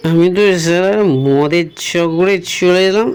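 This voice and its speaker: Man doing a high-voiced whiny cartoon drunkard. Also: fairly even intonation, unhurried, slight background noise. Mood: confused. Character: foolish, complaining male drunkard in comic trouble. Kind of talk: comic Bengali cartoon dubbing.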